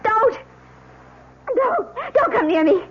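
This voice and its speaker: A woman's voice: very high voice, big pitch swings, slow, heavily acted. A woman playing a terrified, frantic young newlywed wife, crying hysterically in a 1970s radio melodrama. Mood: hysterical terror. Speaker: actor in radio drama. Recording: dull, very noisy old radio recording.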